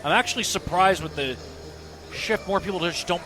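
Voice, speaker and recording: A man's voice: high voice, normal speed. A man giving casual live baseball commentary as a color analyst, conversational and offering his own opinions.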